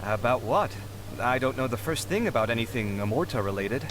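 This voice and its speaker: Man acting an expressive, dramatic young man. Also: mid-range voice, a little fast, background noise, close to an everyday voice. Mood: friendly. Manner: theatrical, earnest. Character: expressive, dramatic, warm-hearted young male architect. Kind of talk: English game voice acting.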